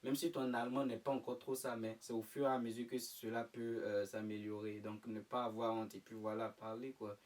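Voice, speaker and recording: A man's voice: medium pitch, flat delivery, brisk, few pauses, darkish tone, far from the mic. Young man speaking a bit off-mic. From Côte d'Ivoire. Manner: hesitant, many fillers and restarts. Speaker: interview guest. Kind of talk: casual interview answers.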